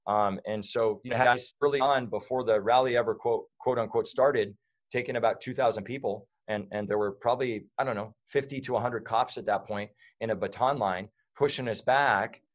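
A man's voice: mid-range voice, normal speed. A man talking as a guest in a casual interview, rambling with lots of fillers and restarts.

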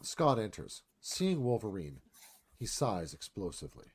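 A man's voice: fairly low pitch, sing-song, a little slow, breathy edge. A man narrating stage directions from a screenplay in a live scripted read.

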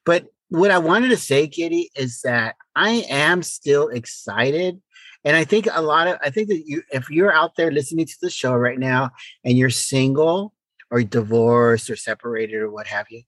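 A man co-hosting a podcast in a casual, conversational style, with slightly halting phrasing. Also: mid-range voice, fairly bright tone, no room sound, rough recording. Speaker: podcast co-host. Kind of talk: casual relationship chat.

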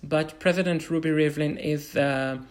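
A man from Israel with an Israeli accent, a native Hebrew speaker explaining things informally in halting phrases as a podcast guest. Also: a little high, fairly even intonation.

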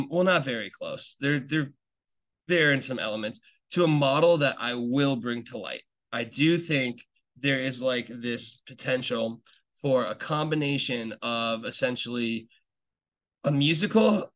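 A young adult man talks in an easy, rambling way, piling up fillers and circling back on his own sentences. His voice sits at an ordinary middle pitch for a man. He sounds like an eager fan thinking out loud as he hosts a casual podcast update. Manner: chatty, rambling, many fillers and restarts; enthusiastic fan talk. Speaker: podcast host.

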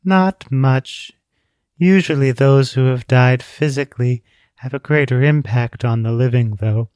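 A small, weary girl with a near-monotone delivery, put on by a male reader, unhurried and calmly detached. Slightly raised from his usual voice, recorded dry and close. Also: medium pitch, clear, resonant tone, no room sound, lightly acted. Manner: flat, slow, calmly detached.

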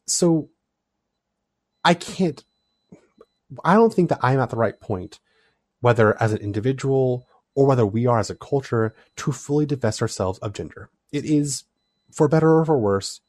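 A man talks through his ideas in a relaxed, thoughtful way. His voice sits at a middle pitch. The sound is dry and close, with no room echo. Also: a little slow. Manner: thoughtful, explanatory, animated delivery. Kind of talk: casual discussion.

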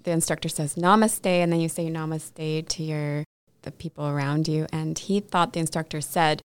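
A woman's voice: deep voice, fairly even intonation, moderate pace, clear, resonant tone, dry recording. A woman hosting a casual conversational interview in a playful, teasing way.

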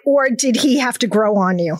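A woman co-hosts a podcast, bubbly and quick to laugh. Her voice is high and jumps around a lot. The recording is dry and close. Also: lively intonation, dry recording. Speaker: podcast co-host. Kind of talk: casual podcast interview.

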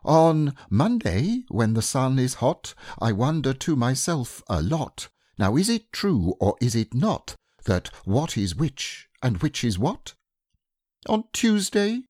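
A man reading aloud and voicing a simple, gentle male teddy bear who muses slowly and hesitantly. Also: medium pitch, no echo, studio quality, pitched above the performer's own voice. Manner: slow, musing, hesitant.

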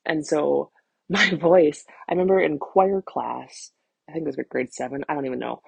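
A woman in her thirties speaks casually on a solo podcast, with a husky voice full of vocal fry. She rambles in a frank, self-deprecating way and swears freely. The sound is close and dry. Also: low-pitched, normal speed, no room sound. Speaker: podcast host, vocal empowerment coach.

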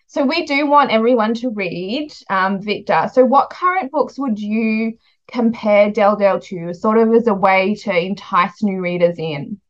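A woman's voice: medium pitch, measured pace, dull audio. A woman hosting a casual book podcast in a chatty, informal way. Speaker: podcast host and children's author.

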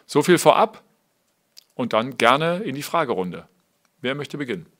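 A man's voice: mid-range voice, big pitch swings, normal speed, fairly bright tone. A man acting as a football club's press officer, moderating a press conference formally, with frequent fillers as he announces speakers.

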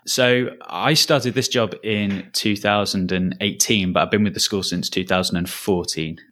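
A man's voice: lowish voice, fairly even intonation, normal speed, a bit bright. A man in his thirties with a Lancashire accent, a school's director of learning, answering interview questions in an earnest, thoughtful way with frequent restarts. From Lancashire, England. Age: 30s. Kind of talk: podcast interview.

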